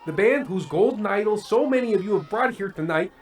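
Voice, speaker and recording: Man playing a high-ish, emphatic male show host. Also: expressive, a little fast. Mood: fed-up, defiant. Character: earnest, disgruntled male late-night variety show host. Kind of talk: scripted radio play monologue.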